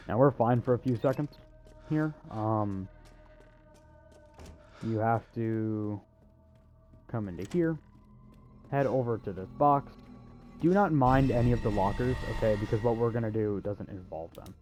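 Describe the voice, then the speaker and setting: A man's voice: lowish voice, warm tone. Sarcastic young man over game music. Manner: sarcastic, crude joking. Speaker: video game walkthrough host. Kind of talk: casual gameplay commentary. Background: game music and sounds.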